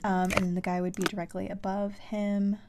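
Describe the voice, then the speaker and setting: A woman playing a puzzle game and giving casual commentary, thinking aloud haltingly and trailing off as she works things out. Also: medium pitch, level tone, a little mellow. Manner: halting, trailing off while working through puzzles.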